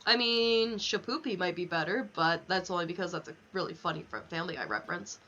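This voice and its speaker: Young female game streamer, lively pitch, candid rambling chat with casual swearing, slightly dull lo-fi mic. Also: fast. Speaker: livestreamer.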